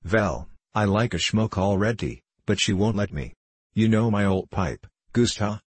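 A man's voice: lowish voice, expressive, measured pace, breathy edge, no echo. A man voicing a stubborn, ailing old German railroad worker with a growling voice, a thick German accent and broken English. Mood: plaintive. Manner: blunt, grumbling, broken English.

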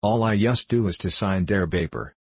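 Male narrator as ailing old German railroad man, stubborn, growling, low-pitched, thick German accent, storybook character. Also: normal speed, husky, dry recording. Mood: plaintive. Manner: blunt, grumbling, broken English.

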